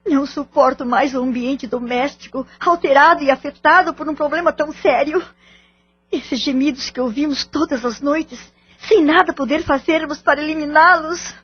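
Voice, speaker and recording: A woman's voice: high voice, moderate pace, close to an everyday voice. A woman playing an anxious, polite married mother in an audio drama, speaking formal Brazilian Portuguese with careful, pleading delivery. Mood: distressed, pleading. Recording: dull lo-fi audio drama recording.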